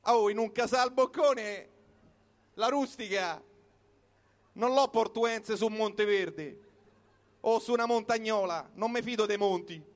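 A man's voice: high voice, measured pace, long pauses. A man with a Roman accent performing a comic storytelling monologue in short, colloquial, punchy phrases. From Rome, Italy. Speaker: comic performer reciting his own piece. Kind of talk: live comic storytelling monologue built on wordplay.

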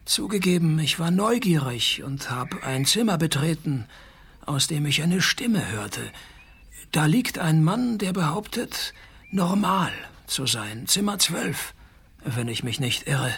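A man playing a wisecracking, cocky private detective in a radio play, speaking with dry, deadpan irony. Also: a little high, slow, vocal fry, husky, exaggerated voice. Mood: indignant. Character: wisecracking, cocky male private detective posing as night porter. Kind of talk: radio play dialogue and first-person detective narration.

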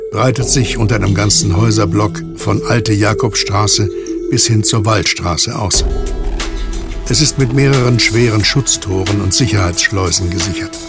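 A man narrating a scripted audio drama in a measured, descriptive storytelling manner. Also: lowish voice. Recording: loud music under narration. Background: loud music.

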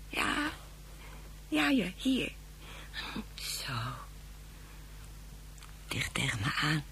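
A woman's voice: on the low side, vocal fry, sharp tone, heavily acted. An actress playing a drunken, anxious mother in a science-fiction radio play, rambling and clinging. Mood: secretive, hushed. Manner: rambling, repetitive, slurred and dramatic.

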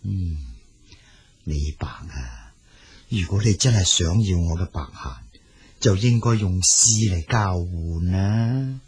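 A shrewd Cantonese scholar from a storybook, as a male narrator puts him on. He muses to himself in a low, rough, husky voice, weighing each thought slowly and deliberately. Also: expressive, a little mellow, faint music behind, exaggerated voice. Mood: musing, calculating. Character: shrewd, musing male scholar who loves his birds.

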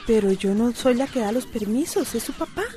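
A woman's voice: a little high, lively intonation, brisk, mellow, lightly acted. An actress playing a worried, placating mother and wife in a radio soap opera, with a Costa Rican accent. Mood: anxious.

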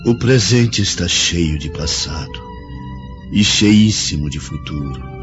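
An ardent, repentant Roman husband in a radio play, very low and unhurried, with a Brazilian accent. His delivery is earnest and theatrical, with music clearly behind. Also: lively intonation, muffled recording. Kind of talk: radio drama acting.

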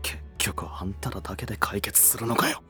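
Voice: sits low, a little fast, bright, forceful tone, whispered, soft background music. An actor playing a brash, protective teenage boy who speaks bluntly and emotionally in a voice drama. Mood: sullen. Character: hot-headed protective teenage boy, rough-spoken. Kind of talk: voice drama acting.

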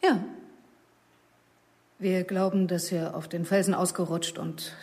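A composed woman violinist played by an actress with a slightly low voice. She answers formally and guardedly, keeping every phrase controlled and measured, in the style of a radio crime drama. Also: flat delivery, darkish tone, close to an everyday voice. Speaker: actress playing a violinist and witness.

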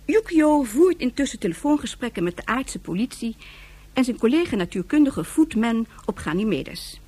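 A woman playing the narrator of a radio drama serial, recapping events in a scripted, measured storytelling manner. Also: on the high side, very animated, warm tone, breathy edge, no room sound. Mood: narrating.

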